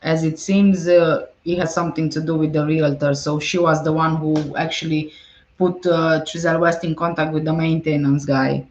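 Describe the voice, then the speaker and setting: A woman's voice: deep voice, level tone, normal speed, roomy sound, poor audio. A woman hosting a casual livestream, reading viewer comments aloud and answering them conversationally. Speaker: livestream host. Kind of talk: casual livestream chat about a case.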